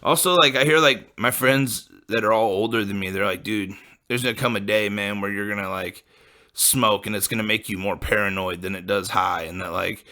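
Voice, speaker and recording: A man's voice: lowish voice, normal speed, pushed and bright. A man in his late twenties from the American Midwest, rambling casually and profanely as a podcast host, full of fillers. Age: late 20s. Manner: laid-back, profane, full of likes and you-knows.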